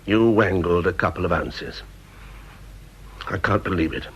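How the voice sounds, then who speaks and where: A man's voice: low voice, lively intonation, a little slow, breathy edge, full character voice. An actor playing a stern, exacting British senior scientist in a radio drama, clipped and sarcastic as he interrogates and reprimands. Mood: angry, scornful. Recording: dull, muffled old radio recording.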